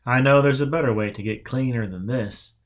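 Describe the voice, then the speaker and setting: Exasperated male pupil, read by a male narrator, questioning and colloquial, mid-to-raised pitch, storybook dialogue. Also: fairly quick, natural voice.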